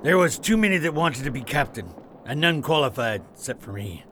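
Against clear background noise comes a wily, seasoned pirate, acted by a man for an audio drama. He talks in rough, colloquial sailor dialect with a swagger. His pitch swings widely from line to line. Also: big pitch swings, fairly quick, fairly bright tone. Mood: grim, reminiscing. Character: rough, wily veteran pirate, Captain's trusted old hand.

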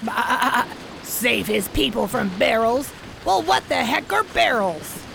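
A woman's voice: on the high side, big pitch swings, slow, creaky, a bit bright, slight background noise, full character voice. A woman voicing a grumpy, proud male goat in his prime in a radio play, crabby and sardonic. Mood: indignant. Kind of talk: theatrical radio play acting.

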